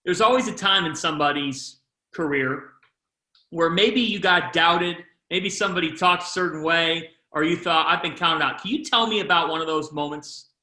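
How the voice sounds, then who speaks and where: Male sports interviewer, high bright voice, lively questions, roomy echo. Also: moderate pace. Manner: lively, shifts pace within questions.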